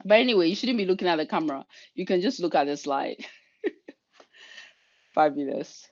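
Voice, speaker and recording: Fast-talking Ghanaian woman on a dull video call. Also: on the low side. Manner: fast, energetic, chatty delivery. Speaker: leadership coach and workshop leader. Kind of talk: online workshop presentation.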